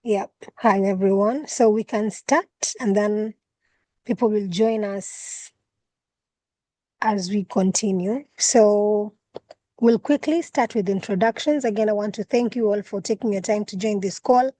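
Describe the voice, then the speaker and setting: A woman's voice: medium pitch, dark tone, dry recording. A woman from Kenya hosting an online meeting as regional coordinator, speaking with frequent fillers and restarts over a video call. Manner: halting, many fillers and restarts.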